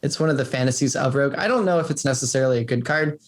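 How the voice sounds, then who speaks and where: A man's voice: medium pitch, racing. A man speaking casually as a game developer being interviewed.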